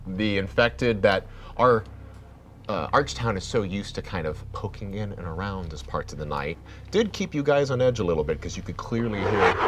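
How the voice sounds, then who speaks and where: Low-voiced man narrating as game master. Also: a bit bright, a little hiss or hum. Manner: loose, meandering narration with fillers. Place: studio set with a long table.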